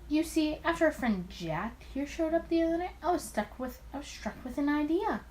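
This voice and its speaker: A woman's voice: high voice, animated, a little fast, a little mellow, slight background noise, pitched above the performer's own voice. A woman voicing an arrogant, charming male mafia boss from the 1920s in a visual novel. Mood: smug, explaining.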